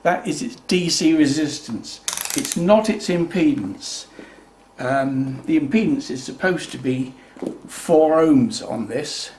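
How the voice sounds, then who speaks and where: A man giving an unscripted, methodical hands-on explanation in a measured way, as the presenter of a repair video. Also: on the high side, a little mellow, slight background noise.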